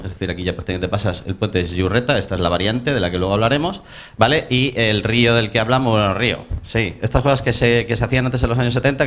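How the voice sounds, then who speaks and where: A man's voice: mid-range voice, a bit bright, a little hiss or hum. A man with a Spanish accent from Spain giving an informal conference presentation as an architect, colloquial and self-interrupting, with joking asides.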